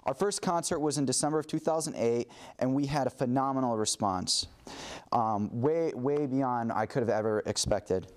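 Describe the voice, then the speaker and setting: A man's voice: mid-range voice, moderate pace. Earnest young male student musician. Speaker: student percussionist. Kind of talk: interview statement.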